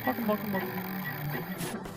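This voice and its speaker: A man's voice: high voice, slow, over loud music. A man hosting a stream, giving casual live reaction commentary. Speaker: streamer reacting to horror series.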